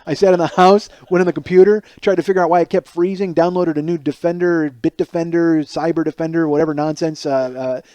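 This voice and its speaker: A man's voice: fairly high pitch, mellow, no room sound. A man in his forties with a lisp, hosting a podcast in a rambling, self-mocking comedic monologue. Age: 40s. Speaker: podcast host.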